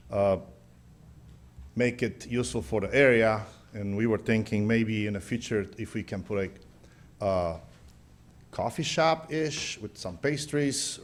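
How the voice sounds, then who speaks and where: A middle-aged man with a Romanian accent, a pastor speaking at a county meeting's public hearing, hesitant and searching for words. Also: mid-range voice.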